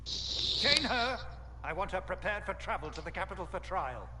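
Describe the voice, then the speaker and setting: A man's voice: sits high, very animated, moderate pace. An actor playing a suspicious, haughty male church official who speaks in accusations. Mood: accusing. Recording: reverberant game audio with heavy noise.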